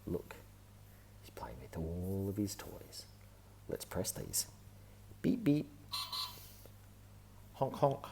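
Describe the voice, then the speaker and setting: A man reading a children's picture book aloud, with gentle, often whispered asides. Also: deep voice, wildly swooping pitch, drawn-out words, gravelly, long pauses, background noise.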